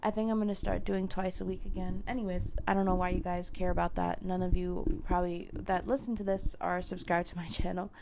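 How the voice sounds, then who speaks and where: A young woman with a hoarse, failing voice, talking casually to her viewers with lots of fillers and restarts. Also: lowish voice, level tone, a little fast, hardly any pauses, a little mellow, slight background noise. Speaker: content creator. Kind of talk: casual chat to camera.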